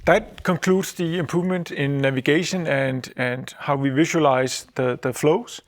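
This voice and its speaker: A man giving an informal software product update in a halting, explanatory way. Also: on the high side, steady tone.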